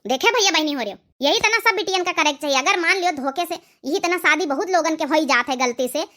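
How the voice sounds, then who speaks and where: Cartoon village mother , played by a woman: middle-aged, Awadhi accent, very high bright voice, approving and moralizing. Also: expressive, quick pace. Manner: moralizing, repetitive. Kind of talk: cartoon family drama dialogue.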